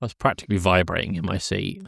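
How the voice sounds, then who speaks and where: Male podcast co-host, fairly low register, conversational film talk, close and dry. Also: fast, gravelly, a bit bright, no echo. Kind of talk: casual film discussion chat.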